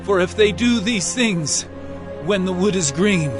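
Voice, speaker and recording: A man acting a sorrowful, solemn prophet with measured delivery in a dramatized scripture recording. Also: sits high, over music.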